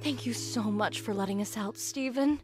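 A woman's voice: on the high side, moderate pace, natural voice. A woman voicing a gushing, motherly female gem in a cartoon comic dub. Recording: music behind the voice. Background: music.

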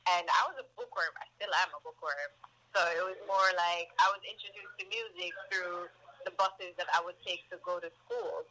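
A woman's voice: lowish voice, moderate pace, sharp tone. A woman with a Jamaican accent, a singer and guest, telling her story in an upbeat, eager way. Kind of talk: interview answers. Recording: thin phone line.

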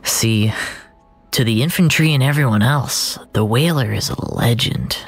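Narrator for literary audio fiction: unhurried, brooding delivery, medium-low androgynous voice, hushed phrase endings, light music underneath. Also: vocal fry, husky. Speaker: storyteller narrator.

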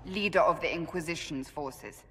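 A woman's voice: sits low, moderate pace, fairly bright tone, slightly rough. Stern female warrior leader, firm, matter-of-fact, long reverb.

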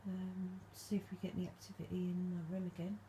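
A woman vlogging at night from her dark bedroom, speaking softly and calling out to spirits, her voice a little stuffed up from hay fever. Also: fairly low pitch, flat delivery, brisk, a little hiss or hum, poor audio. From England. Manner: hushed, soft-spoken, calling out to spirits. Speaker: paranormal vlogger. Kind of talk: quiet late-night ghost hunting vlog.

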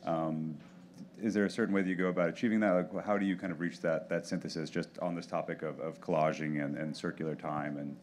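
Deep voiced guy moderating a panel, rambly questions, bit of room noise. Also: steady tone, quick pace. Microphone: handheld mic.

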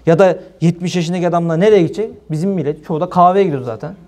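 High-pitched male preacher. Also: rapid-fire. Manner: animated, colloquial, rhetorical questions. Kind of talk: informal religious sermon. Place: small room indoors.

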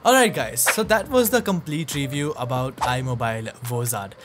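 A man giving a scripted tutorial narration as a software reviewer. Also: mid-range voice, moderate pace, background noise.

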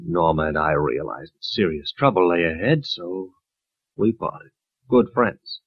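A man's voice: sits low, animated, measured pace, husky, no echo, heavily acted. An actor playing an evasive, nervous, testy man in 1940s radio style, hesitant and defensive. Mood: guarded. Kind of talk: 1940s American radio acting. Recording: dull, dry 1940s radio recording.

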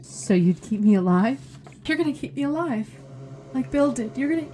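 A woman's voice: high voice, lively intonation, moderate pace, mellow, a little hiss or hum. A woman playing a bitter, intense, commanding captive, kept alive without a body, in an audio horror drama. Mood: horrified.

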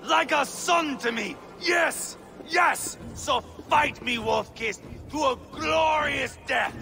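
An actor playing a treacherous, unhinged Viking warlord in a game cutscene, taunting with sudden shouts. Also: male voice, slow pace, gravelly, full character voice. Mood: raging. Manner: taunting, swings between low menace and shouting. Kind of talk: video game cutscene acting. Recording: music under dialogue.

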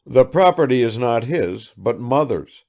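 A man's voice: medium pitch, very animated, unhurried, vocal fry, a little mellow, pitched above the performer's own voice. A man reading aloud and voicing a blunt, nonchalant young man with a curt, dismissive manner.

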